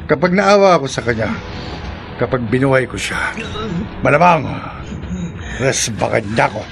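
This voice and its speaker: An actor playing a ruthless, hot-tempered killer in a horror radio drama, with a menacing, taunting delivery. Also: male voice, medium pitch, expressive, moderate pace, gravelly, over music. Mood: cold menace. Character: ruthless violent killer, hot-tempered husband-type man.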